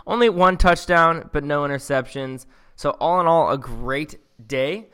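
An excitable man chats about football as a podcast co-host, his voice bright and forceful. He rambles and restarts his sentences as he goes. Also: a little high, unhurried, clear, resonant tone. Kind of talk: casual sports chat.

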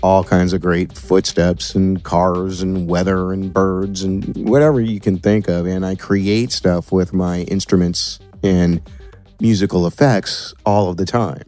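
Casting: American male with a deep voice, podcast guest explaining technical work, light music underneath. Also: steady tone, measured pace, darkish tone. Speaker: audio producer and sound designer, podcast guest. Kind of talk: interview answers, explanatory talk.

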